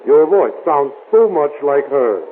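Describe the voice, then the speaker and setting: Flustered american gem dealer abroad, super lively pitch, old radio drama, tinny sound. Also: male voice, unhurried, slight background noise. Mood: apologetic, amused. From United States. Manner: flustered, hesitant, exclamatory. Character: prosperous American gem dealer abroad, stubborn, breezily trusting.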